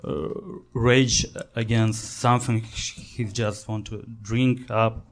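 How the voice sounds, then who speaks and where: Slow, halting man with a Russian accent. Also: fairly low pitch, little pitch movement. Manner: halting, hesitant. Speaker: guest on a comedy show. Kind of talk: casual chat.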